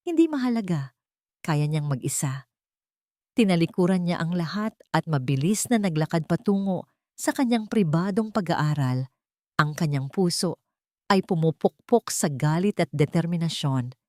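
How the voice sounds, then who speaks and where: A woman narrates a dramatic family story in a fairly low voice. The recording is dry and close, with almost no room sound around her. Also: lively intonation, normal speed, a little mellow, no room sound.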